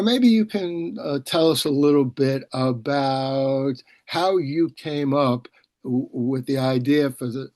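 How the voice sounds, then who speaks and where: Halting male interviewer, dry close sound. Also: medium pitch, a little mellow, dry recording. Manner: halting, discursive, thinking aloud. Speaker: host and publisher. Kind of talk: video call author interview. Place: indoors on a video call. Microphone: video call microphone.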